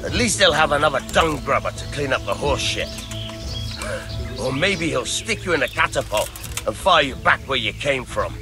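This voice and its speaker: An actor playing a gruff, hard-drinking combat master in a video game, with blunt, earthy, sardonic delivery. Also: male voice, medium pitch, animated, moderate pace, over music, lightly acted. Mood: mocking. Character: gruff, boozy, mocking male combat master. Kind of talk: video game cutscene acting.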